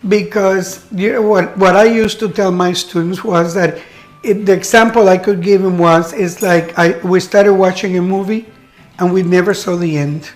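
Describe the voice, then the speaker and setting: A woman's voice: lowish voice, fairly even intonation, moderate pace, faint music behind. Older woman from Cuba reminiscing. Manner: reminiscing, chatty with many restarts. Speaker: guest, retired teacher. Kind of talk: casual chat.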